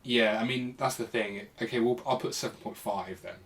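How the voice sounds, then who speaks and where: A man's voice: medium pitch, fairly even intonation, brisk, fairly bright tone. Guy chatting on a podcast, sweary and informal, sounds kinda far from the mic. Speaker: podcast co-host. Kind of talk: casual podcast chat.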